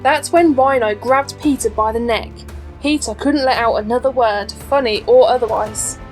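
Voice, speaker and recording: A woman's voice: a little high, normal speed. A woman reading a scripted children's storybook as an audiobook narrator. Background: faint background music.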